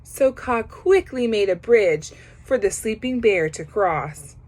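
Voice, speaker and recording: A woman reading a children's picture book aloud, pausing to comment on the pictures. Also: medium pitch, lively intonation, darkish tone. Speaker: storybook reader.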